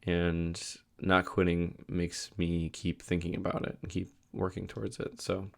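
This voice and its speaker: Young guy, super low voice, kinda hoarse cuz he's sick, rambly vlog talk w lots of ums. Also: completely flat delivery, a little slow. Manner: rambling with many fillers and restarts. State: losing his voice, a little sick. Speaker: vlogger. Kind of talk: casual daily video diary.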